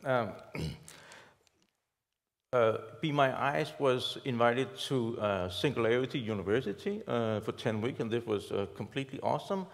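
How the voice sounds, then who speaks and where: A man of middle pitch speaks as a panelist, hesitant and filling pauses with fillers and light laughs. His voice rings with the long reverb of a conference hall. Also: lively intonation, gravelly. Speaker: panelist, app developer.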